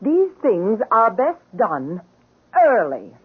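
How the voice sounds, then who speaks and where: An actress playing a cruel, haughty, seductive rich wife in a 1970s radio drama, speaking in a formal, imperious, deliberate way. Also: female voice, fairly high pitch, huge pitch swings, heavy background noise, heavily acted. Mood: coldly menacing. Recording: muffled, narrow-band old radio broadcast.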